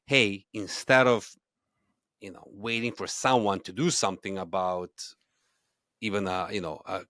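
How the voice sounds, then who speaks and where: Man from Serbia, relaxed storytelling. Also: lowish voice, no echo, studio quality. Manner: fluent storytelling with frequent restarts and fillers. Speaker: guest, movement founder. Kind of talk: conversational podcast interview.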